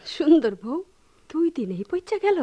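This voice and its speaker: A young farmer's wife in a radio drama, speaking rural Bangladeshi dialect. Her voice is high and sweeps widely in pitch. She is emotional and protesting, a wounded but stubborn village woman. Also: big pitch swings, normal speed, warm tone. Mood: challenging.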